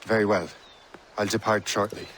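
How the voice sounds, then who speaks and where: Man playing a low-voiced, resolute sea captain. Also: steady tone, normal speed, fairly bright tone, a little hiss or hum, lightly acted. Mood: earnest. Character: plain-spoken, determined young Templar sea captain, wry and resolute. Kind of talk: dramatic video game acting.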